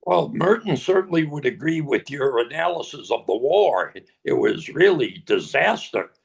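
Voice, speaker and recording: An older man speaking as a conference panelist, answering a question slowly with many pauses and fillers. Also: medium pitch, very animated, gravelly. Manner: slow, halting, with many fillers.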